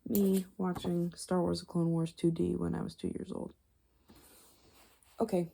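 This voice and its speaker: A young adult woman hosting ASMR, speaking softly and breathily, often whispering, musing aloud in an informal, murmured way. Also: lowish voice, flat delivery, a little slow, gravelly, breathy edge. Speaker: ASMR video host. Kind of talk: ASMR, soft-spoken personality explainer while drawing.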